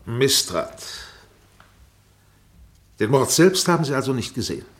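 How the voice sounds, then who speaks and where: Insistent male defence counsel, mid-range lively voice, pointed, radio courtroom drama. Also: mid-range voice, unhurried. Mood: pointed, challenging.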